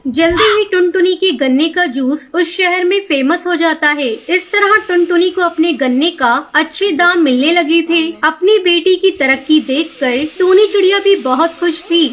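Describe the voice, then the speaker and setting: Very high-pitched woman storyteller. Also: unhurried, hardly any pauses, a bit bright, a little hiss or hum. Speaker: narrator. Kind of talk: scripted children's story narration. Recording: music bed behind voice.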